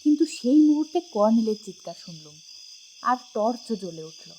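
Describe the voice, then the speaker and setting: A woman reading a scripted first-person suspense story with dramatic, expressive storytelling. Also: fairly high pitch, moderate pace, a little hiss or hum. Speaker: audiobook narrator.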